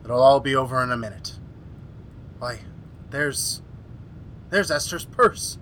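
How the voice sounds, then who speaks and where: A man playing an anguished scientist in an old-time radio horror play, with a halting, urgent, melodramatic delivery. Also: mid-range voice, a bit bright, slight background noise. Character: anguished scientist, doctor haunted by his creation.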